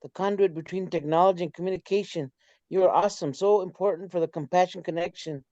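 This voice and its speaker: A man hosting reads viewer comments aloud in a measured, plain way. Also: sits high, fairly even intonation, a little mellow, dry recording. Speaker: host reading viewer comments. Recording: dull, muffled lo-fi audio.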